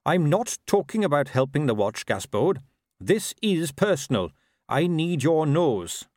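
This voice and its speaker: A man's voice: fairly high pitch, very animated, a little slow, darkish tone, no room sound, studio quality, pitched above the performer's own voice. A man voicing an earnest, polite young watchman in an audiobook, courteous and slyly persuasive. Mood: earnest appeal. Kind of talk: audiobook character voice.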